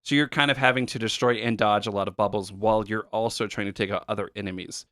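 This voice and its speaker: A man co-hosting a podcast, casually walking through retro game levels with a relaxed, explanatory manner and plenty of fillers. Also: lowish voice, fast talker, no echo, clean studio sound. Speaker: podcast co-host. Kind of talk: casual chat about retro games.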